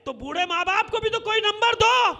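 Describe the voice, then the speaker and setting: A man's voice: very high-pitched, animated, moderate pace, gravelly, pushed and bright. A man delivering an impassioned religious sermon as a Shia preacher, emphatic and repetitive, with rhetorical questions. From Pakistan. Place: congregation hall, speaking to a gathering.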